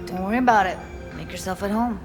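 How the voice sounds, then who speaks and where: An actress voices a streetwise young woman in a game, with a medium-pitched voice. She sounds informal and earnest, over clearly audible music. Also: quick pace, close to an everyday voice. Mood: caring. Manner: informal, slangy. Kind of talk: video game cutscene acting. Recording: music behind the voice.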